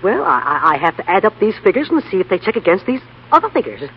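An actor playing a meek, flustered office clerk and tinkerer, fussy and stammering, in a 1930s radio comedy play. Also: male voice, high voice, huge pitch swings, fast, husky, full character voice. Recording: dull, noisy 1930s radio recording.

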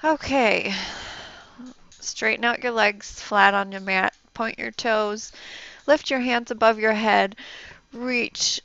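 A soft-spoken young woman, a yoga instructor, giving gentle, encouraging instructions and breathing cues. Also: fairly high pitch, steady tone, takes it slowly, creaky, no room sound, highs cut off. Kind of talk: guided yoga class instruction.

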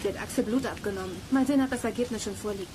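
A woman's voice: medium pitch, rapid-fire, mellow, lightly acted. A woman playing a sensible, analytical girl detective in a children's audio drama, matter-of-fact and decisive. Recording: echoey room, faint noise.

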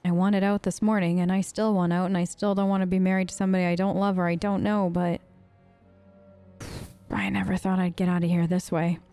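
A woman's voice: on the low side, flat delivery, a little fast, mellow, faint music behind. A woman gamer speaking informally and conversationally as she role-plays her character in a let's play.

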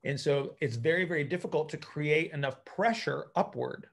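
A man's voice: a little high, moderate pace, creaky. A middle-aged man explaining technical ideas informally as a coach on a video call, repeating words as he thinks aloud. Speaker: coach and physical therapist hosting a group call. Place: indoor room at home, group video call.

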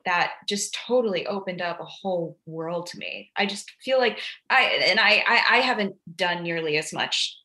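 A woman guest chatting casually and enthusiastically on a podcast, halting and repeating words as she goes. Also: fairly low pitch, sharp tone. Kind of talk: casual chat. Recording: very roomy, echoey sound.

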